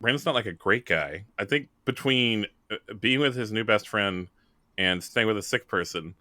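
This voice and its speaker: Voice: mid-range voice, normal speed, pushed and bright, dry recording. A player improvising a jokey, casual scene in a tabletop roleplaying game.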